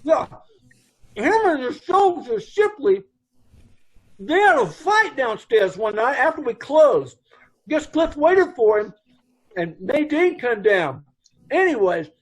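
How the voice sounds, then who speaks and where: Casting: a man doing a fretful middle-aged dance hall boss, high and lively pitch, rambling and ungrammatical, radio play. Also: husky, exaggerated voice. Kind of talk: old-time radio drama recreation.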